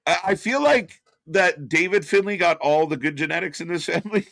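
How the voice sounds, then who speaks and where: A man's voice: a little high, expressive, brisk, vocal fry, fairly bright tone. A man co-hosting a live wrestling watch-along stream, wisecracking, laughing often and swearing. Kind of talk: casual live-stream commentary.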